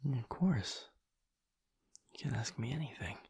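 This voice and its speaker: A man playing a gentle, earnest vampire lover in an intimate audio roleplay, speaking in a halting, stammering, breathy near-whisper. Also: lively intonation, no room sound, clean studio sound, exaggerated voice. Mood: hesitant, soft. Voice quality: breathy, gravelly low voice.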